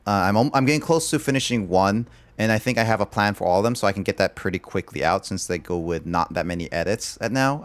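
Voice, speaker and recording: A man's voice: low-pitched, no room sound. A man chatting casually on a livestream, rambling and filling his talk with "like". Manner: rambling, loaded with "like" fillers.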